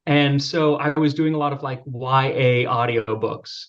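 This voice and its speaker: A man's voice: mid-range voice, measured pace. Youthful-sounding man, slightly muffled. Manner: relaxed, self-deprecating, many fillers. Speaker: podcast guest, video creator. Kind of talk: casual interview chat.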